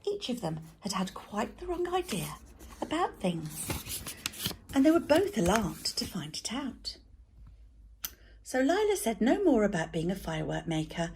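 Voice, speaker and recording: A woman reading a children's story aloud as a teacher, in an expressive narrating style. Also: mid-range voice, measured pace, background noise.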